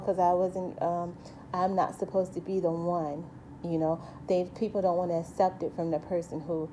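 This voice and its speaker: A woman talks to her viewers in a loose, earnest monologue, circling back with restarts and frequent asides. Her light voice sits a little low and sounds mellow rather than bright. A faint hiss of noise sits behind her. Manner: rambling, earnest, many restarts and "you know". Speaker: vlogger. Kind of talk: personal monologue to camera.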